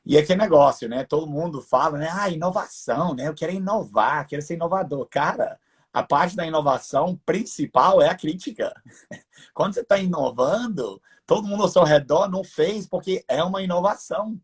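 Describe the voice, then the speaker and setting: An American man who learned the language as a teenager, chatting relaxed in a live interview and often adding "né". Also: a little high, a little fast, slightly rough. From United States. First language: English. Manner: relaxed, laughing, tag questions with "né". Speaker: guest entrepreneur.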